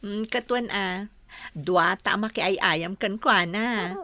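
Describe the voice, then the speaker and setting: A woman's voice: mid-range voice, takes it slowly, sharp tone, no echo. A woman playing a doting, playful mother talking to her baby in a radio drama, with a Filipino accent.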